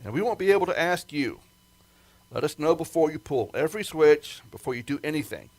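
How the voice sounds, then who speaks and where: A man's voice: a little high, animated, brisk, darkish tone. A man playing a careful, insistent rocket project chief giving instructions in a retro sci-fi radio play. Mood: stern, cautioning. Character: gruff, careful male rocket project chief briefing his pilot.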